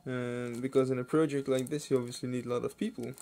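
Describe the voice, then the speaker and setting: Man, gravelly fry in the voice, unhurried, casual hobby video host, hesitant, crinkling packaging, faint noise. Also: medium pitch, level tone, dark tone. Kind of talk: casual unboxing commentary. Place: indoors at a wooden table. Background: clicks and crinkling plastic bags.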